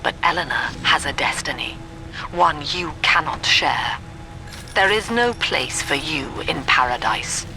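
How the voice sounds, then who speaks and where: An actress playing a cold, controlling villainess in a video game, making solemn, menacing pronouncements. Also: female voice, sits low, expressive, unhurried, music in the background, low-quality recording. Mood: cold, threatening.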